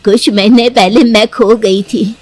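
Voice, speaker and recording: A woman playing an older village mother-in-law in a cartoon, explaining things earnestly and in a measured way. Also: a little high, steady tone, noisy background, natural voice. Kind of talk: Hindi cartoon story acting.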